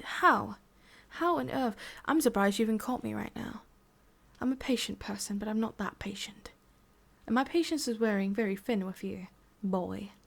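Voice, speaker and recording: A woman with an English accent playing a sadistic, condescending female demon boss in an ASMR roleplay, speaking deliberately and slipping between soft voice and whisper. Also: medium pitch, lightly acted. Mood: angry, disappointed.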